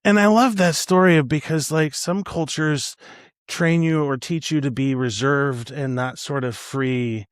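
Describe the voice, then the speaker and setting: A man's voice: on the high side, unhurried, dry recording, clean studio sound. A man hosting a podcast, talking in a casual, earnest and affirming way with his guest. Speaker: podcast host. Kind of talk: casual conversational interviewing.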